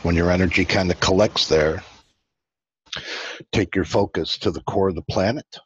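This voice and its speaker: Deep, husky man leading guided meditation. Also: fairly even intonation. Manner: slow, soothing instruction with long pauses. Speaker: host and guided meditation leader. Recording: dull, lo-fi, roomy sound.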